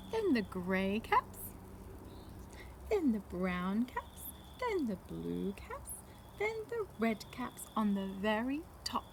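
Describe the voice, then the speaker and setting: A woman with a high, very animated voice reads a children's picture book aloud. She takes her time, leaving dramatic pauses and asking young listeners questions. Faint noise sits behind her. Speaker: storyteller reading to children.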